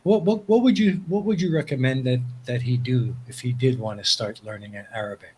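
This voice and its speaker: Male stream host, husky and mid-pitched, friendly, reads out chat questions. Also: brisk, darkish tone, rough recording. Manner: friendly, affirming with many "mm-hmm"s. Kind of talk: casual live-stream hosting, reading chat questions.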